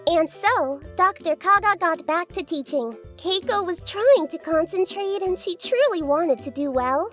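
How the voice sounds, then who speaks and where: A woman's voice: extremely high pitch, a bit bright, exaggerated voice. A woman playing a teasing, mock-pitying narrator of an anime comedy in an arch, theatrical way, with music behind her.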